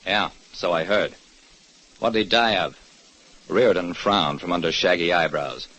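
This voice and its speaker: A man's voice: deep voice, a little slow, fairly bright tone, poor audio. An actor playing a wry, hard-boiled private detective who narrates his case tersely in an old radio drama. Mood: brisk.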